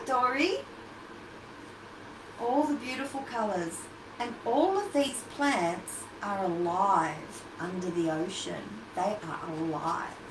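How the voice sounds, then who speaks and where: A woman reads a children's picture book at a slow pace in a fairly high voice. She speaks as a teacher to little listeners, adding questions and asides. The recording is roomy, with clear noise. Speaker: children's storytime host and teacher. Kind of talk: animated picture-book reading for young children. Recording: roomy, distant, noisy livestream audio.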